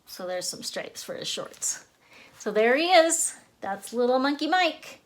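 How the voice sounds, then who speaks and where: A woman's voice: sits high, sing-song, measured pace, slight background noise. A woman giving a step-by-step drawing lesson as an author and illustrator, narrating simply as she draws. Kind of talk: slow step-by-step drawing demonstration.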